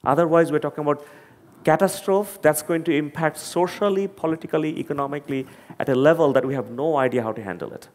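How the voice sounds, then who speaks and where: A man's voice: a little high, fast talker, darkish tone. A man from Bangladesh with a Bangladeshi accent, giving an earnest, emphatic talk to an audience and often repeating words as he searches for them. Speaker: conference speaker. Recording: long hall reverb.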